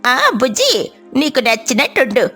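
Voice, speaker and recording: A woman's voice: high-pitched, big pitch swings, normal speed, fairly bright tone, soft background music, heavily acted. A woman voicing a helpful, frank, motherly eagle in a cartoon story, with an advising, conversational manner.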